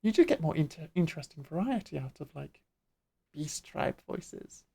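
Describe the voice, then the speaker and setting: A woman's voice: low voice, sing-song, moderate pace, creaky, warm tone, breathy edge. A woman streamer chatting playfully and casually while playing a game, laughing easily. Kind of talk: casual let's-play commentary.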